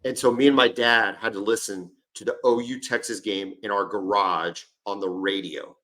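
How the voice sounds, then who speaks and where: 40s guy cohosting a sports pod, lowish voice, keeps butting in with his own stories. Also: steady tone, normal speed, sharp tone. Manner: eager storyteller, jumps in and interrupts. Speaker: podcast co-host. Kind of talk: casual sports chat.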